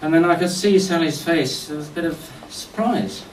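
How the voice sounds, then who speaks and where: A middle-aged man giving a eulogy as a speech of humorous anecdotes, told in a wry, unhurried way. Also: a little high. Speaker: speaker giving a memorial tribute. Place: indoor function venue at a golf club, before an audience. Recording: echoey room, distant mic, faint noise.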